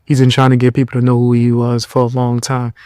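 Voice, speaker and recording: A tired young man hosting a podcast, giving blunt, mocking commentary in loose slang with a low voice. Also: completely flat delivery, fast talker, dark tone, smooth, dry recording, clean studio sound. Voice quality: lower voice. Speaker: podcast host. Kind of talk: casual commentary.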